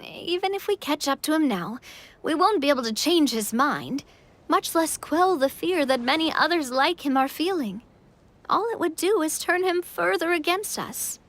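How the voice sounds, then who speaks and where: Sunny young king, high lively voice, bright tone, soft music underneath. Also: normal speed. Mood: cheerful. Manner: upbeat, friendly, reassuring. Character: cheerful young king of a storybook city. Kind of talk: game voice acting.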